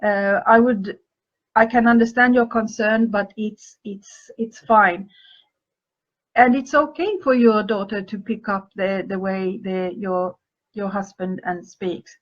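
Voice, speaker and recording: A woman from Finland who grew up speaking Finnish and Swedish gives calm advice to listeners at a slow, unhurried pace. She thinks aloud, pausing on fillers and restarting her phrases as she goes. Her mid-pitched voice comes through a dull, slightly muffled lo-fi recording. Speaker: podcast host answering listener questions. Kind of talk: advice talk answering questions.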